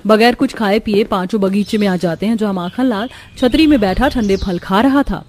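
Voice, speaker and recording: A woman's voice: mid-range voice, normal speed, darkish tone, a little hiss or hum. A woman narrating a scripted cartoon story as its storyteller.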